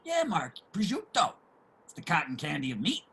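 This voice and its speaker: A man acting a husky, forceful streetwise homeless guy. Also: a little high, huge pitch swings, normal speed, heavily acted. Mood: exasperated, boastful. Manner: salty, emphatic, colloquial street talk. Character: streetwise homeless man, generous, opinionated, foul-mouthed. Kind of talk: dramatic table reading of a stage play.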